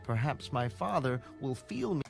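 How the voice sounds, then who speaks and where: An actor playing a hesitant, deceitful younger son in a dramatised audio Bible, with earnest, formal delivery. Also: male voice, medium pitch, animated, moderate pace, gravelly. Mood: worried. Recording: faint background music under the voice.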